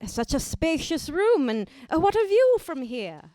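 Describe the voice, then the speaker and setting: A woman acting as a scholarly, slightly scattered lady professor in a radio play. Her voice is high and swoops freely, with pedantic phrasing broken by hesitations. The sound is close and dry. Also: very animated, warm tone, dry recording. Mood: curious. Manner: halting, pedantic, many uhs. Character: brainy, absent-minded woman professor, adjunct to the multiverse. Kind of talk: scripted audio drama acting.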